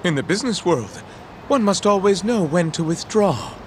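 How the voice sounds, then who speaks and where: An actor playing a pompous, grandiose gangster boss in a video game, speaking in a mock-formal, melodramatic way. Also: male voice, high voice, animated, moderate pace, slight background noise. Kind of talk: video game dub acting. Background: music.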